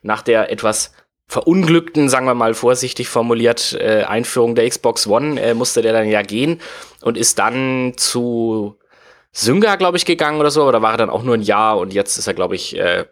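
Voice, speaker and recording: A man's voice: mid-range voice, lively intonation, moderate pace. A man, explaining at length in a casual podcast chat, full of fillers and hedges. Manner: rambling, many fillers and hedges. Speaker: guest explaining game industry history.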